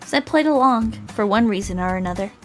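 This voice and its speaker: A woman's voice: mid-range voice, animated, fast, faint music behind. A woman streamer reading a visual novel aloud, mixing story narration with casual asides.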